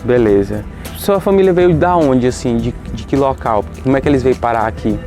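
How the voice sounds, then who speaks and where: A man's voice: mid-range voice, a little fast, warm tone, soft background music. A man with a Brazilian accent hosting an interview, asking questions in a friendly, informal way. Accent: Brazilian Portuguese. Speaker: interviewer, channel host. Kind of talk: casual interview.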